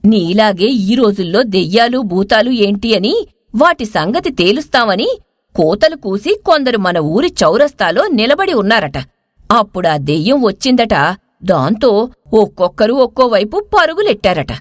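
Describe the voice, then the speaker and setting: Woman acting a scolding village mother, lively pitch. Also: moderate pace, no echo, studio quality. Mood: warning. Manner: exasperated, scolding. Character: scolding, worried village mother of grown son.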